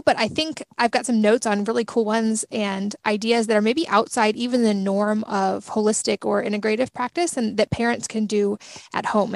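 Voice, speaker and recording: A woman's voice: mid-range voice, vocal fry, dry recording. A woman hosting a podcast, introducing a guest and asking questions in an upbeat, enthusiastic way. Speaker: podcast host.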